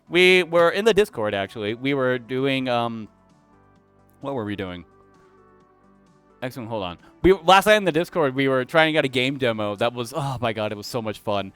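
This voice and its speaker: A man's voice: fairly high pitch, a bit bright, clear, resonant tone, no room sound. A young man streaming, chatting casually and rambling, often cutting himself off to answer chat. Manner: rambling and self-interrupting, talks back to chat.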